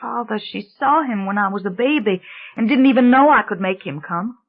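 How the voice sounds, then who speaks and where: A frightened little girl pours out a secret in a desperate, sobbing rush, as a woman reads her part. Her voice rides high above the reader's own and cracks with tears. The recording sounds dull and muffled. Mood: pleading. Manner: anguished rushing pleading with sobs. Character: frightened tearful young girl confessing.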